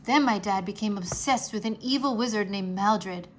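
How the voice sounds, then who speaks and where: A woman voicing an earnest, wistful, determined young boy wizard in a children's storybook, speaking in a hurried, pleading way. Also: medium pitch, close to an everyday voice.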